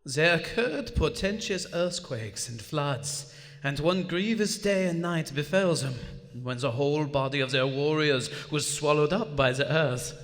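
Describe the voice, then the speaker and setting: A man's voice: a little high, measured pace, fairly bright tone. A man playing a crazed, fervent German submarine lieutenant haunted by visions, acting melodramatically in a live radio drama. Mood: portentous. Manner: melodramatic, fervent, portentous delivery. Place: on stage in a large historic theater. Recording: live theater hall with strong reverb.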